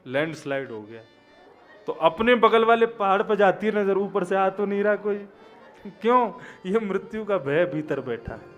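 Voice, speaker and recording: A young man giving a devotional sermon, telling stories and chanting verses, quiet one moment and loud and emphatic the next. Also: high voice, expressive, moderate pace, highs cut off, soft background music. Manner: storytelling, swings from quiet to loud emphatic exclamations. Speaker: Bhagavat katha preacher.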